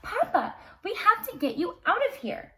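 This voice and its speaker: A woman's voice: very high voice, very animated, moderate pace, a bit bright, pitched above the performer's own voice, exaggerated voice. A woman voicing a brave, devoted young storybook heroine, earnest and anxious. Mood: urgent, pleading.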